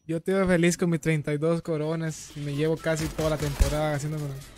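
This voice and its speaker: A young Guatemalan man with a Guatemalan Spanish accent, streaming a game and chatting casually with loud, excited outbursts. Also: fairly high pitch, fast. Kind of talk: casual gaming chat.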